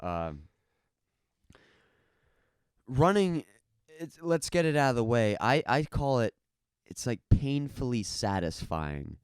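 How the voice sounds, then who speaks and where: A man's voice: mid-range voice, big pitch swings, takes it slowly, smooth, dry recording. A young man co-hosting a podcast in casual chat, with dry, teasing humor. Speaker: podcast co-host.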